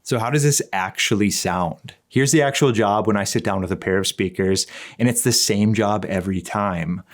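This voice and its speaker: A man's voice: fairly low pitch, normal speed. A man narrating a conversational scripted review as an equipment reviewer, explaining things in a plain-spoken, opinionated way.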